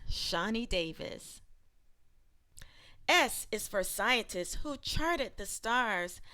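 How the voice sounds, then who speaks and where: A woman reading aloud like an educator sharing a children's book, with deliberate and expressive phrasing. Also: on the high side.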